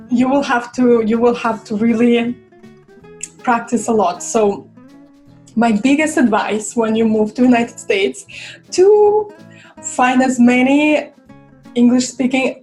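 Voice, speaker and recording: Brief: young Russian-accented woman, fairly high voice, chatty vlog delivery, soft music bed. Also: poor audio. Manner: chatty, searching for words with fillers. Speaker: vlogger. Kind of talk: casual talk to camera.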